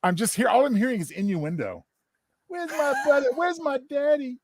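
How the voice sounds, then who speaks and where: A man's voice: extremely high pitch, expressive, normal speed, crowd noise. A man co-hosting a show with casual, wry commentary. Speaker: show co-host.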